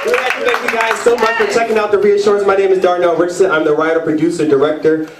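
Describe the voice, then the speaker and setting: High-pitched man speaking in an echoey theater. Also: little pitch movement, fast. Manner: encouraging, prompting audience responses. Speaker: stage play director addressing audience. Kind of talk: curtain-call speech to a live audience. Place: theater stage with live audience. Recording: echoey hall, distant mic, audience noise. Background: audience crowd.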